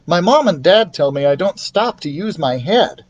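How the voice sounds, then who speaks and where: A man's voice: high voice, expressive, moderate pace, dull audio, voice pushed up. A man voicing a friendly, candid young boy in a storybook, speaking in an earnest, confiding way.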